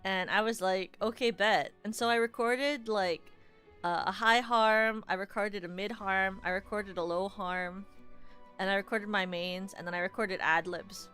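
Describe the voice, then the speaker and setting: Chatty young woman streamer, bright voice. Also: medium pitch, steady tone, measured pace, soft background music. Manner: chatty and rambling, playful teasing. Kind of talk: casual livestream chat.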